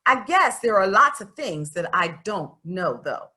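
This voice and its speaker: A woman's voice: medium pitch, very animated, unhurried, bright, forceful tone. A woman voicing an outspoken, stubborn young schoolgirl in a storybook, reading her letters aloud in a candid, folksy, emphatic way. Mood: earnest, questioning. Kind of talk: expressive storybook read-aloud in first person.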